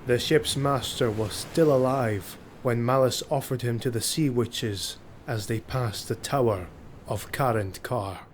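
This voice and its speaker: Man narrating a dark fantasy audiobook. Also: mid-range voice, measured pace, a little hiss or hum. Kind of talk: dramatic scripted fantasy narration. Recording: faint ambient sound effects behind voice. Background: ambience and sound effects.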